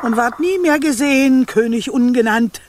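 A woman's voice: fairly high pitch, noisy background, natural voice. An actress playing an old scheming witch grandmother in a radio play, muttering with smug malice. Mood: gloating. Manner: slow, muttering, self-satisfied, incantatory. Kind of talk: German radio play acting.

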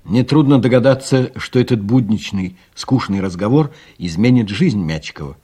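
A man's voice: on the low side, lively intonation, moderate pace, dark tone, slightly rough. A man narrating a scripted comic story as the storyteller of an audio play.

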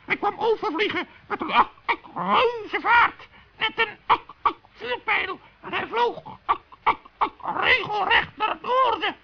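A man voicing a helpful goose with halting, hesitant speech in a children's radio play. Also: extremely high pitch, lively intonation, creaky, pitched above the performer's own voice, exaggerated voice. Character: helpful goose, haltingly recalling what it saw. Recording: dull vintage radio recording.

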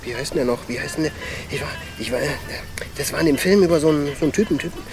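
A man's voice: a little high, very fast, music in the background, natural voice, low-quality recording. An actor playing a chatty, jittery small-time ex-convict in his twenties who nervously repeats himself in a crime radio play. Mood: jittery, chummy. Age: 20s.

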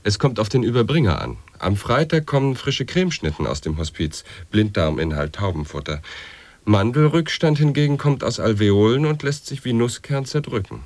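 A man's voice: medium pitch, very animated, moderate pace, a little mellow, no echo. An actor playing an absurdly pedantic man, declaiming wordplay and grotesque nonsense in an avant-garde radio play.

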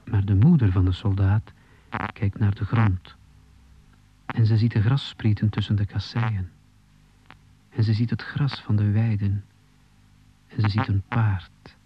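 An actor playing an earnest imprisoned poet in a radio play, speaking in a halting, deliberate, poetic way with a husky, breathy voice. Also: male voice, on the low side, fairly even intonation, a little hiss or hum, natural voice. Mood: grave, intense. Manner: halting, deliberate, repeating phrases. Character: meek, earnest imprisoned poet, humbly explaining himself to authority. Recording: slightly dull 1980s radio recording.

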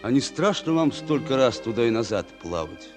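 An actor playing a stern, resolute Red Army captain of about forty in a wartime radio drama, clipped and commanding. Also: male voice, mid-range voice, big pitch swings, moderate pace, a little mellow, faint music behind, natural voice. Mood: quiet, curious. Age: middle-aged. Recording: vintage radio play, reverberant hall.

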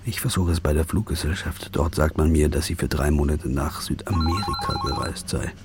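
A man's voice: a bass voice, a little fast, music in the background. An actor playing a hunted male journalist in a radio play, narrating in first person and arguing with tense, paranoid insistence.

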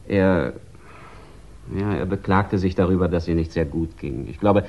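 An actor playing a discreet, reluctant businessman giving guarded testimony in a 1960s German radio crime drama. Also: deep voice. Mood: measured, guarded. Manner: guarded, hesitant, measured. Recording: dull 1960s radio recording.

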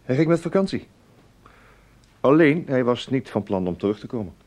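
An actor playing a tactful, dryly ironic intelligence officer in a Dutch radio play, speaking politely and a little hesitantly. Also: male voice, mid-range voice, expressive, moderate pace, a little mellow, lightly acted. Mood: tactful, reluctant. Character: wry, tactful male intelligence officer breaking bad news. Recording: noisy old radio drama recording.

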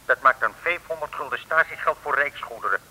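An actor playing a pedantic, officious government clerk in an old comic radio play, speaking formally. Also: male voice, brisk, pushed and bright, breathy edge. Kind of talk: 1950s-60s Dutch comic radio play acting. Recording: old radio recording, boomy reverb.

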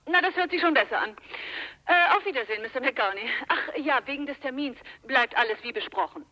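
A haughty pushy customer, high piercing voice, impatient, radio drama. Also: female voice, lively intonation, fairly quick, sharp tone, slight background noise, low-quality recording. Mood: haggling, smug. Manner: haughty, demanding. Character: bossy, haggling, impatient female heiress customer. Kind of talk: German radio play acting.

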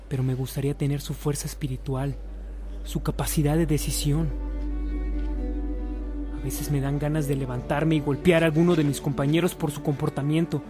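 An actor playing an earnest, reflective young seminarian in a radio drama. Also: male voice, fairly high pitch, warm tone, natural voice. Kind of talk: radio drama acting and book narration.

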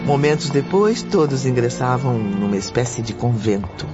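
A Brazilian actor playing the narrator of a spiritual radio drama, formal and literary in delivery. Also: male voice, medium pitch, lively intonation, moderate pace, lightly acted. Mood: formal, descriptive. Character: storytelling narrator of a spiritual tale. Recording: dull recording with prominent music behind. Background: music.

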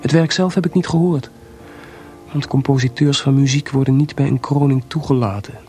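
An actor playing a proud, jealous composer with a sarcastic, theatrical delivery in a radio play. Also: male voice, medium pitch, moderate pace, warm tone, soft background music, close to an everyday voice. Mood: wry, reflective. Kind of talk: radio drama acting.

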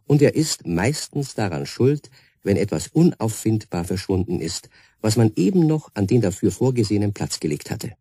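A man narrating a children's radio play as an expressive storyteller who speaks directly to young listeners. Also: on the low side, moderate pace, husky, no echo, studio quality, full character voice. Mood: storytelling. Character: storyteller introducing a children's tale.

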